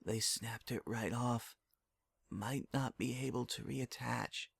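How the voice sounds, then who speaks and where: A man voicing a grave hospital pony who reports in a hushed, clinical manner in a story reading. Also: medium pitch, steady tone, slow pace, gravelly, husky, no room sound, clean studio sound, exaggerated voice.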